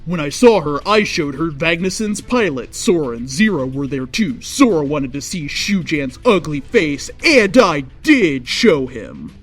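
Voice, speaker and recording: A woman reading bad fan fiction aloud as a storyteller, with theatrical, drawn-out emphasis on key phrases, close to a microphone without a pop filter. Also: low-pitched, big pitch swings. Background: faint music.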